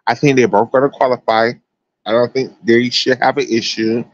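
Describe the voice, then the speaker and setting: A man's voice: medium pitch, fairly even intonation, normal speed, no echo, lo-fi sound. An American man giving casual, chatty sports commentary as a livestream host, emphatic and slangy.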